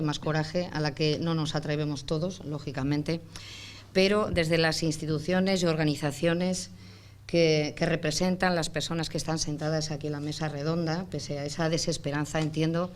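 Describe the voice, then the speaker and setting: A woman moderating a round table, speaking in measured, wordy phrases that build piece by piece. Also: deep voice. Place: indoor round-table conference room. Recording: faint background noise.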